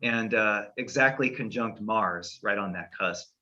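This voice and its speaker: A man's voice: lowish voice, measured pace, sharp tone, dull audio. A middle-aged man from California, a guest astrologer, talking casually and meandering with fillers and restarts on a podcast.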